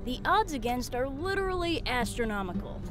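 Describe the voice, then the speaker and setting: A wry, unhurried infiltrator in a sci-fi audio drama, acted by a woman. The voice sits fairly high and moves freely up and down. Dramatic music plays underneath. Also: sing-song. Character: wry, unhurried spaceship infiltrator on a covert job. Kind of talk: scripted audio drama acting.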